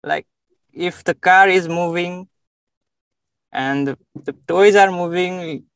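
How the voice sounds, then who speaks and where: A young man from Nepal answers interview questions slowly and haltingly, reaching for words between frequent fillers. His voice sits fairly high for a man and sounds smooth and clear. The recording is dry and close, with no room sound. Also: a bit bright, no room sound, poor audio. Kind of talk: casual interview.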